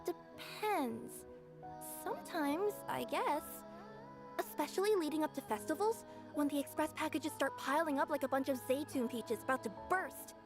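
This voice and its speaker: High-pitched perky cat-girl courier. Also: normal speed, music in the background. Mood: musing, chatty. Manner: chatty, expressive. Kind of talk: video game voice acting.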